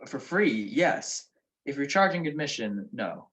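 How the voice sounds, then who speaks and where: A man plays a grumpy married gentleman detective from an old radio mystery. He is sardonic and full of wisecracks, with swooping, animated intonation and a mid-range voice. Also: medium pitch, measured pace. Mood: grouchy, sleepy. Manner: sardonic, dry wit. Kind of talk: 1940s radio comedy-mystery acting.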